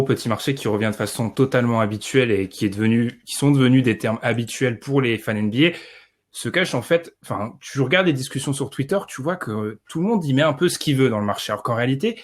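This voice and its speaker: A man co-hosting a podcast discussion, explaining points in a confident, wry way. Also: medium pitch. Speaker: podcast co-host.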